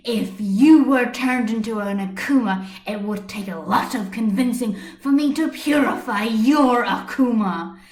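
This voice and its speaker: A woman voicing a furious, protective young female superhero in an amateur story reading, ranting loudly and indignantly into a computer microphone. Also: fairly high pitch, unhurried, roomy sound, natural voice. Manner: loud, indignant tirade delivery.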